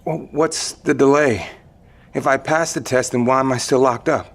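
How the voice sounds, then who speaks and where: A man acting in an audio drama as a suspicious confined patient, terse and questioning, with a gravelly voice. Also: medium pitch, moderate pace. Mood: suspicious, demanding. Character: confined male patient, suspicious, demanding answers.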